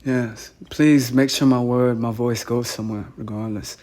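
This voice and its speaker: A man's voice: medium pitch, steady tone, moderate pace, noisy background. A man calling in, earnest and insistent, often repeating himself. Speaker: caller. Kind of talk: phone call.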